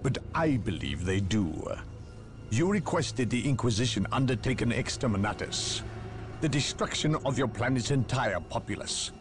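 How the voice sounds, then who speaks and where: A man's voice: medium pitch, expressive, moderate pace, music in the background, natural voice. An actor playing an arrogant male inquisitor, speaking with clipped, cutting, condescending politeness. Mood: cold. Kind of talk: video game cutscene acting.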